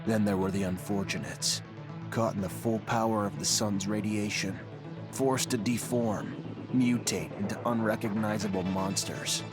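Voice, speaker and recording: An actor playing an earnest, composed young cadet in a sci-fi video game, speaking in a measured, solemn way. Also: male voice, fairly low pitch, fairly even intonation, lightly acted. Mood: grave, solemn. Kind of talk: scripted game narration and dialogue. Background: background music.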